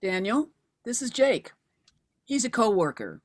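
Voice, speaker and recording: A woman's voice: fairly high pitch, big pitch swings, measured pace. A woman in a screenplay table read, playing a stubborn, terse widowed mother turned amateur investigator. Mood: curt, shaken.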